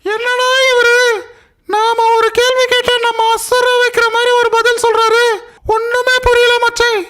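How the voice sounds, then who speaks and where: A woman voicing a bossy, excitable schoolboy who keeps complaining in a cartoon. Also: very high-pitched, unhurried. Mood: exasperated. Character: pushy, excitable schoolboy cartoon character, squeaky voice. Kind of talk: cartoon dialogue.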